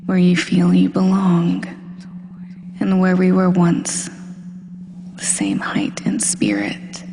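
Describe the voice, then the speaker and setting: A woman playing a smug, domineering female supervillain hypnotist in a scripted roleplay audio, breathy and deliberate, often dropping into a whisper. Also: lowish voice, a little mellow, full character voice. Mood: domineering, soothing. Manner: slow, deliberate, hypnotic, commanding. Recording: long hall-like reverb.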